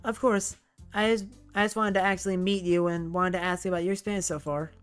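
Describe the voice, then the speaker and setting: A man's voice: high voice, steady tone, brisk, clear, resonant tone, soft background music, natural voice. A man putting on a friendly schoolgirl class rep. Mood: polite. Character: friendly polite teenage schoolgirl class representative.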